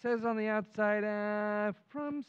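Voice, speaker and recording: Man playing a brash, high-pitched radio neighbour. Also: slow, clear, resonant tone. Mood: brash, needling. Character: brash, nosy, wisecracking working-class neighbour man. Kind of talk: old-time radio comedy acting. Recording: dull, narrow-band sound.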